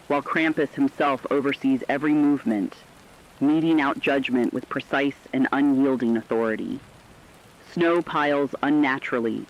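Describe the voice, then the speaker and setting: A man's voice: medium pitch, lightly acted. A man playing a grave radio reporter, delivering formal, solemn warnings of terror as scripted dramatic news. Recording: dull lo-fi sound, hissy rain noise.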